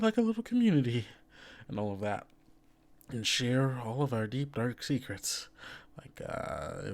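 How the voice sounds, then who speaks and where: Casual, halting man hosting a podcast. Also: mid-range voice. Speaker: podcast host and game master. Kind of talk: casual announcements and tabletop game narration.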